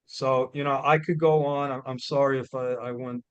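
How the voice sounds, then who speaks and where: A man with a New York accent giving a loud, blunt, emphatic motivational talk as a guest speaker. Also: mid-range voice, fairly even intonation, moderate pace, clean tone, no room sound, highs cut off.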